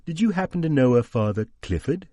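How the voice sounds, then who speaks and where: A cunning, smooth-talking investigator, put on by a male storyteller flatters and wheedles to pry out secrets. His voice sits well above the reader's own, with a timbre reshaped away from it. It is recorded close and dry. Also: fairly high pitch, fairly quick, dry recording, pitched above the performer's own voice, close to an everyday voice. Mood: flattering.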